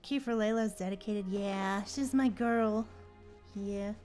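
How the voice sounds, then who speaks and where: A woman livestreamer chatting casually in a slangy, animated way while gaming. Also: medium pitch, slow, soft background music. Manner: chatty, animated retellings with frequent slangy exclamations.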